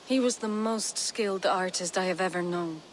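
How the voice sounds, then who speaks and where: An actress playing a gentle, doubtful young Viking apprentice in a video game cutscene, with a soft, halting, earnest delivery. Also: female voice, lowish voice, a little hiss or hum, lightly acted. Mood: subdued, grateful.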